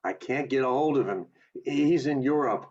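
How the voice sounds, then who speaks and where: A man's voice: mid-range voice, moderate pace, a little mellow, natural voice. A man playing a desperate, agitated family man in a radio play. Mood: desperate, pleading. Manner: agitated, pleading. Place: home recording over video call. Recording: dull lo-fi video call audio.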